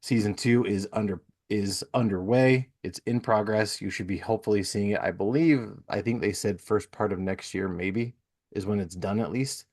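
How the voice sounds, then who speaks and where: A man's voice: lowish voice, normal speed, a little mellow. A man hosting a podcast, chatting casually and giving plugs in an informal, enthusiastic way. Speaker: podcast host.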